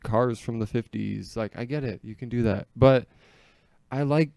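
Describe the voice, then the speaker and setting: A man's voice: medium pitch, moderate pace, creaky, mellow, no room sound. An American man telling stories in casual, comedic podcast chat, joking and peppering his talk with "like".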